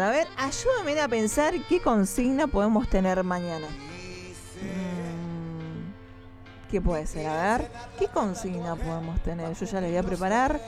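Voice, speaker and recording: A woman from Mendoza, Argentina, with an Argentine accent, hosting a morning radio show and chatting directly with her listeners. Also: mid-range voice. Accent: Argentine, mixed Mendoza and Córdoba. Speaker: radio host. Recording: music playing behind the voice. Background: music.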